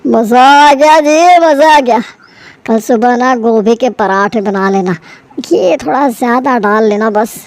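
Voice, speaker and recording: A woman's voice: fairly high pitch, measured pace, no room sound. A woman voicing a jovial middle-aged father who loves rich food and talks heartily. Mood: delighted.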